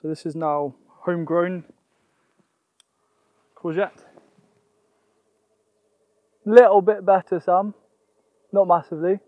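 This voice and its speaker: A man's voice: sits high, slow, long pauses, no room sound, muffled recording. A young man presenting a casual gardening vlog outdoors, explaining things and often thinking aloud. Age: young adult.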